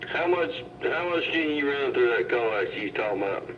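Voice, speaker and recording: A man's voice: medium pitch, expressive, moderate pace. A man chatting on CB radio in a folksy, self-deprecating manner. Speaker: CB radio operator. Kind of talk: casual CB radio chat. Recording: dull CB radio transmission, faint noise.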